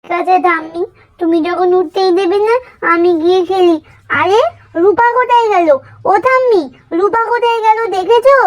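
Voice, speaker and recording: A very high, childlike voice plays a playful little village girl in a doll-story cartoon. She pleads insistently, repeating her phrases like an eager younger sister. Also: steady tone, moderate pace. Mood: pleading.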